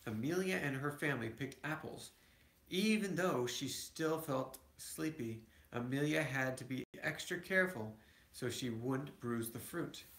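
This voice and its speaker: A man's voice: a little high, gravelly, pushed and bright. Echoey man reading a picture book aloud. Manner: halting, with small stumbles and asides to children. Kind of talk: read-aloud storytelling with casual asides. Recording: echoey room, home recording.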